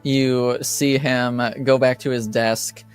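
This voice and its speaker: A man narrating a tabletop game as game master, improvising hesitantly with many pauses. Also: mid-range voice, little pitch movement, clear, resonant tone, no echo, soft background music.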